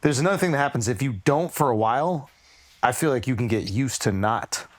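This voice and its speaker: A man's voice: mid-range voice, animated, fairly quick. Crude, riffing male podcast host. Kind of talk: casual comedic chat.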